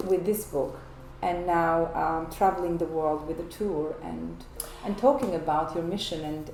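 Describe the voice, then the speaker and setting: A woman hosting an interview in a guest's flat, chatty and asking long, rambling questions. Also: fairly low pitch. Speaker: interview host. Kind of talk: casual interview conversation. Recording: echoey room with faint noise.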